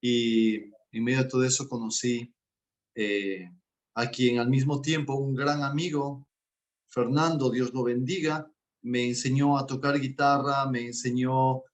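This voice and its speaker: A man's voice: mid-range voice, steady tone, measured pace. A man, a guest coach speaking in an earnest, enthusiastic way in a casual interview. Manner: warm and earnest, speaks with enthusiasm about faith.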